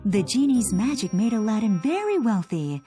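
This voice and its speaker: A woman narrating a scripted children's fairy tale in an expressive storytelling manner. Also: fairly high pitch, normal speed, over music.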